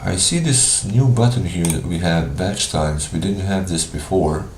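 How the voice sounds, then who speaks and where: A man with a cold, sounding congested, casually walking viewers through software on a live screen share. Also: low voice, echoey room, a little hiss or hum. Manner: casual, thinking aloud with pauses. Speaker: software trainer.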